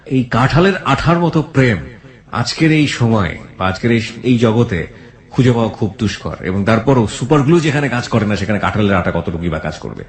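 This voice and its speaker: A man's voice: medium pitch, lively intonation, a little fast. A Bangladeshi man hosting a radio show, talking in a reflective, philosophical way to a guest and listeners. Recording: roomy echo on the voice.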